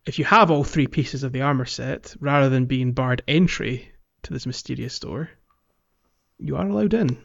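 A man's voice: mid-range voice, moderate pace, gravelly. Casual male podcast host, mid-range voice, explanatory, slightly muffled lo-fi audio. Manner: explanatory, guiding the conversation with questions. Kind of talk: casual game walkthrough chat.

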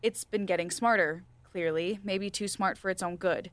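A woman playing a firm but gentle research scientist in an audio drama, speaking in a measured, formal interviewer's manner. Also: medium pitch, fairly even intonation, lightly acted. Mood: explanatory. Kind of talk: student audio drama acting.

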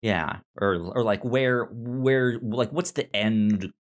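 A man hosting a casual review chat, speaking haltingly and often repeating or restarting his words. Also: mid-range voice. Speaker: podcast host.

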